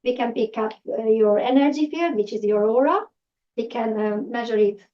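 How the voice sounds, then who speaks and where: A woman's voice: a little high, normal speed. A woman from Hungary with a Hungarian accent, giving a public talk to a live audience with many fillers and restarts. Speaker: presenter. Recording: distant, dull lo-fi room recording.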